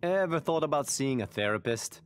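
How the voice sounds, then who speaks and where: A man's voice: fairly high pitch, animated, normal speed, lightly acted. A man voicing an earnest, quirky, nerdy superhero scientist in polite video game fight banter. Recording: faintly noisy game audio.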